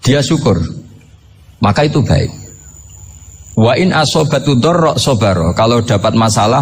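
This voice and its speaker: A male Islamic preacher with a rather low voice and a Javanese Indonesian accent. He lectures informally and conversationally, turning to his listeners with rhetorical questions. The live recording has faint noise behind him. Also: gravelly, a little mellow. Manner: mixes Arabic quotations and regional words into speech. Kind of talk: informal religious lecture to an audience.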